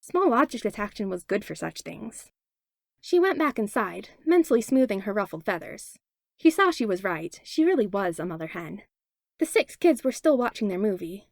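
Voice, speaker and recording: A woman narrating a fanfiction audiobook in an expressive read-aloud manner on a close mic. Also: mid-range voice, normal speed, gravelly, no room sound. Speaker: fanfiction podfic reader. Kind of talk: storybook narration.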